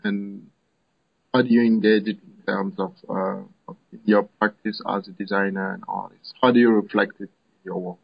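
A man hosting a podcast, asking interview questions in a halting way with fillers. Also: fairly low pitch, steady tone, gravelly, husky, dry recording. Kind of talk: casual interview. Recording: dry, dull lo-fi sound.

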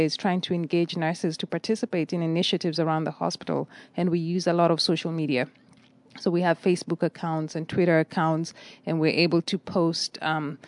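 A middle-aged woman, a nursing director answering interview questions in a measured, articulate way with frequent fillers. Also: fairly low pitch, a little mellow, dry recording. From Kenya.

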